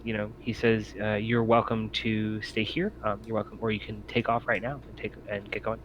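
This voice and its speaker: A man's voice: fairly low pitch, little pitch movement, moderate pace. Male game master, informal and hedging, casual tabletop chat, dull muffled sound with background noise. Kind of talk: casual talk running a tabletop RPG session.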